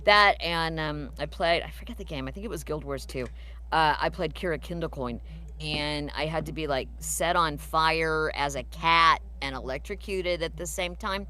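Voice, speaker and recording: Chatty voice actress, low voice. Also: female voice, moderate pace. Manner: chatty, warm storytelling with fillers. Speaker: voice actress guest. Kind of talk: casual live Q&A.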